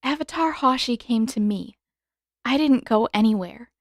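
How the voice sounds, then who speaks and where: Woman as teenage girl heroine, storybook reading, higher pitch, halting, wounded, earnest, dry recording. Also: no echo, lightly acted. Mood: sad, explaining. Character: teenage girl hero, earnest, hurt, holding back tears. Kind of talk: dramatic audiobook character reading.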